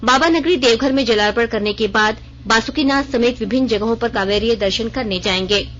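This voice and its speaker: A woman with a fairly high voice reads a scripted regional news bulletin. Her delivery is formal and measured, as a radio presenter's is. The recording sounds slightly muffled and band-limited. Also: fairly bright tone.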